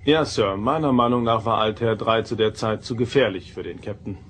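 A man's voice: lowish voice, brisk, fairly bright tone, husky. An actor playing a confident, dutiful male first officer, formal and respectful, in a German sci-fi audio drama. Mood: respectful, firm.

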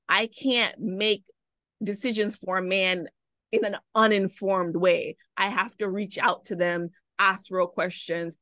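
Bright-voiced young woman host. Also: mid-range voice, no room sound, clean studio sound. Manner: reflective, conversational, uses "right?" often. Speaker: podcast host. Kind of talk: video call interview. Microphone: video call webcam.

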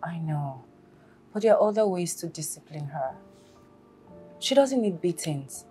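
A woman plays a patient young wife with a soft, pleading way of talking. Her voice sits low but moves a lot in pitch. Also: big pitch swings, unhurried, soft background music. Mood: gentle. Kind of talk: web drama acting.

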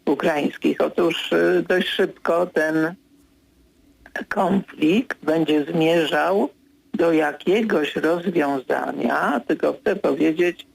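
Gravelly older man, slow and measured. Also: fairly high pitch, low-quality recording. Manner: measured, hesitant with many fillers, grows insistent when correcting. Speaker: political commentator. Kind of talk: radio studio discussion. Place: radio studio.

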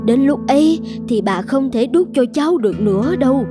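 A woman's voice: high voice, animated, normal speed, music in the background. A woman playing a doting, humble elderly housekeeper in a cartoon, with a coaxing manner. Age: older. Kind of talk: scripted cartoon dialogue.

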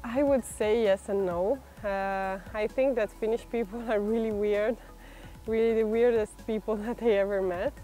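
A woman's voice: medium pitch, fairly even intonation, normal speed, mellow, faint music behind. A young woman with an Italian accent, speaking hesitantly with many fillers in a documentary interview, recorded outdoors in a park. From Italy. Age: young adult. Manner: hesitant, many fillers, laughs while talking. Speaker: exchange student. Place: outdoor park, sitting under a tree.

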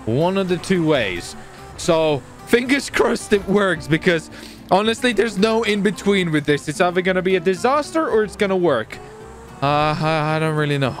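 A man giving casual, excited commentary on his gameplay, chatty and often repeating his phrases. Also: sits high, normal speed, soft background music. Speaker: gaming video host and player.

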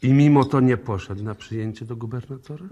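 An actor playing a composed, methodical police inspector in a radio drama, speaking formally and courteously with probing intent. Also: male voice, mid-range voice, brisk, dark tone, muffled recording, lightly acted. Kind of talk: 1960s Polish radio drama acting.

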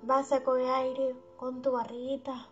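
A woman hosting a guided meditation for sleep, speaking in a soothing, unhurried, affectionate manner. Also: sits high, little pitch movement. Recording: dull lo-fi sound under background music.

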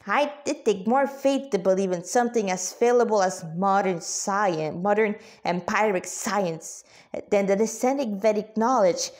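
A woman voicing a brash, excitable female cat spirit in a story, slangy and emphatic. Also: mid-range voice, a little slow, close to an everyday voice. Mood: indignant.

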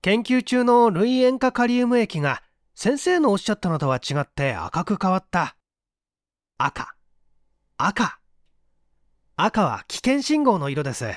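A man voicing a brave, sharp-witted young hero, forceful and confident, in a dramatic story reading. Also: high voice, big pitch swings, unhurried, pauses often, no room sound, clean studio sound, pitched above the performer's own voice. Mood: bright, explaining.

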